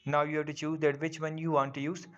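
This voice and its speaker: A man with a slightly high voice hosts a step-by-step tutorial at a brisk clip. His phrasing is instructional and repetitive. The recording sounds dull and lo-fi. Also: flat delivery, fairly bright tone. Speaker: tutorial host. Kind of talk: step-by-step app tutorial narration. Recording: dull lo-fi voice-over.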